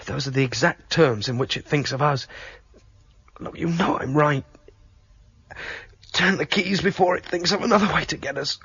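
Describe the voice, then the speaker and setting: A husky rebel guy, pushy and urgent, dry dull old radio sound. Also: fairly high pitch, normal speed, pauses often, a bit bright, no room sound, full character voice. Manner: urgent, persuasive. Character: determined male rebel exposing a ruling computer.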